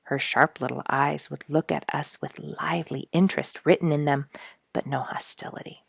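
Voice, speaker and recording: A woman reading a story aloud as host, in an expressive scripted storybook style with emphatic, dramatic stresses. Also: sits low, moderate pace, gravelly, breathy edge, dry recording, clean studio sound. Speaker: story reader and show host.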